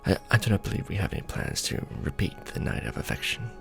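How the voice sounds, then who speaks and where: Man playing a low, creaky-voiced courtly vampire lord. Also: sing-song, over music, heavily acted. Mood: tender, awkward. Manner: formal, measured, hesitant when tender. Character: courtly, conflicted vampire lord, tender toward his beloved. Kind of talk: romantic ASMR fantasy roleplay.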